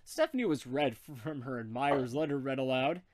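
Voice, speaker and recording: A man's voice: medium pitch, moderate pace, darkish tone, no room sound. A man narrating a comic story as he reads it aloud. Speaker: narrator, reader.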